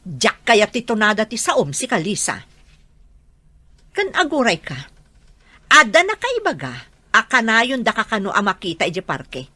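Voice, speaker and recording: A woman's voice: on the high side, huge pitch swings, moderate pace, long pauses, pushed and bright, dry recording, exaggerated voice. An actress playing a worried mother who is stern but caring, in an Ilocano radio drama. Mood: scolding.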